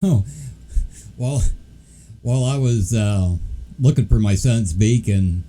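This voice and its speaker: A man's voice: lowish voice, takes it slowly, warm tone. A man recovering from the flu, hosting a casual livestream and chatting with viewers in a rambling, easygoing way. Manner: rambling, easygoing, many fillers. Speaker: livestream host.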